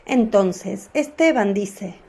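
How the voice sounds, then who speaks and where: Measured female radio-drama narrator. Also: mid-range voice, lively intonation, dark tone. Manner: measured, clear storytelling.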